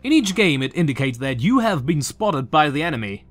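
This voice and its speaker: A young man in his twenties with a German accent, earnestly talking through a game theory from his bedroom, with a few filler pauses. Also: a little high, big pitch swings, soft background music. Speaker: YouTuber. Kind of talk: game theory video commentary. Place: small bedroom with shelves, indoors.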